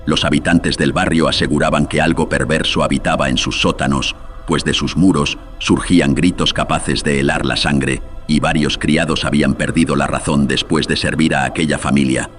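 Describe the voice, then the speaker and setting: A man's voice: fairly even intonation, normal speed, fairly bright tone, faint music behind. A man narrating scripted horror tales as host, solemn, ominous and theatrical, with a processed voice. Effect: deepening voice filter.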